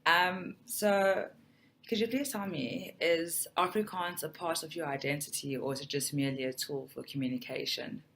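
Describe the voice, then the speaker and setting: A woman with a deep, low voice asks interview questions politely and at a measured pace. She often answers with a quiet "mm" while listening. A faint hiss sits underneath the recording. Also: steady tone. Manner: polite and measured, with frequent "mm" acknowledgements.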